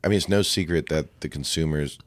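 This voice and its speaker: Deep-voiced man from Ohio, very low. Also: fairly quick, no room sound. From Ohio, USA. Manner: relaxed and chatty, peppers with "dude" and "right?". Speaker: guest singer-songwriter. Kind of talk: casual podcast conversation.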